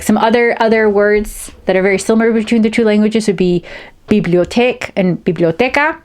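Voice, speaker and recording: A woman in her thirties who grew up speaking Spanish and English, hosting her own vlog in a chatty, upbeat storytelling way. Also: medium pitch. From United States. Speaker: vlog host and author. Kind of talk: casual vlog talk to camera.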